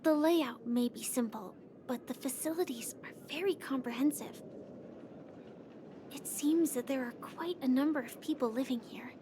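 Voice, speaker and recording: An actress playing a composed, observant young guide in a video game, speaking gently and explaining things with poise. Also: female voice, high-pitched, normal speed, noisy background, lightly acted. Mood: composed, gentle. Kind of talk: scripted video game dialogue.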